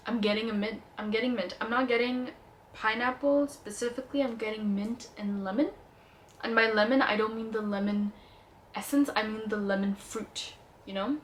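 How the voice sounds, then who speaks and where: A woman's voice: on the high side, fairly even intonation, normal speed, slight background noise. Chatty young woman reviewing perfumes. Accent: Indian. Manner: chatty, playful, enthusiastic. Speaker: beauty vlogger reviewing perfumes. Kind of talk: casual product review vlog.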